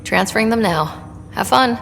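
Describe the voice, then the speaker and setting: An actress playing a young space explorer in a video game, talking in a casual, conversational way. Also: female voice, on the high side, animated, normal speed, vocal fry, a bit bright, a little hiss or hum. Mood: relaxed, casual. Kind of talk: acted video game dialogue. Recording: long reverb, music behind.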